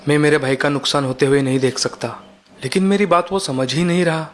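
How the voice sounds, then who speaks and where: Cartoon elder brother acted by a man: caring shopkeeper, earnest persuader, fairly high male voice. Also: fairly quick, a little hiss or hum, lightly acted. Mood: worried.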